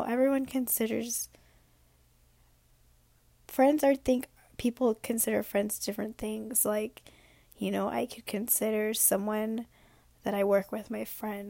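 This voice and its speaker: A young woman who is sick and losing her voice talks through a late-night vlog. Her voice is hoarse and gravelly and at times fades to a whisper, with a flat, even melody. She rambles and reflects, and the sound is dry and close with no room around it. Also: a little high, dry recording. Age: young adult. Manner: rambling, reflective, many fillers.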